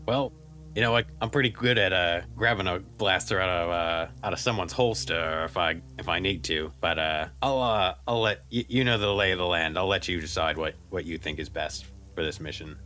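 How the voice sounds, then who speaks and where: A man playing a tabletop roleplaying game in character, speaking in a halting way and repeating words as he goes. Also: low-pitched, pushed and bright, faint music behind.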